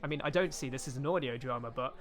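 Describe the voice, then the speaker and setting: A man's voice: mid-range voice, fast talker, natural voice. A man playing a cheeky, wisecracking, hapless amateur detective hero in a comedic audio drama. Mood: wry.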